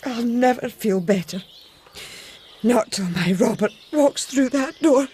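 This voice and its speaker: A very high, slow-spoken woman as an abandoned wife. She is tearful, clinging and melodramatic, full of self-pity and distress. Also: animated, warm tone, slight background noise. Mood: clinging, fussing. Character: distraught, self-pitying wife of a missing schoolmaster. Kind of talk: radio drama acting.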